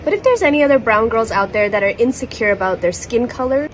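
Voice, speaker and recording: A young woman whose first language is Hindi speaks candidly and with some indignation into a phone held at arm's length. She talks in a slightly high voice, outdoors on a city balcony. Faint city noise sits behind her. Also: animated, fast talker. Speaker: content creator. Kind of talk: casual storytelling to phone camera.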